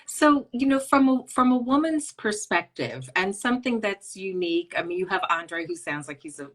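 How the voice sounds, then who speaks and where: A woman's voice: medium pitch, sing-song, normal speed, fairly bright tone. A woman hosting a podcast interview, searching for her words and rephrasing her questions as she goes. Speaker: podcast host.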